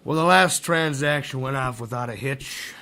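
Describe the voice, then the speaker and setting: A man's voice: medium pitch, moderate pace, sharp tone. An actor in a radio mystery playing a cautious, deferential criminal henchman. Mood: reporting. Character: cautious male henchman, deferential and nervous about risk. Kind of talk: radio mystery drama acting.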